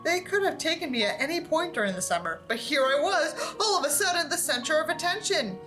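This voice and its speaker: A woman's voice: high voice, lively intonation, fairly quick, soft background music. A woman reading a book aloud theatrically, with sarcastic, exaggerated delivery and dry asides. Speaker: host reading a book aloud with mocking commentary.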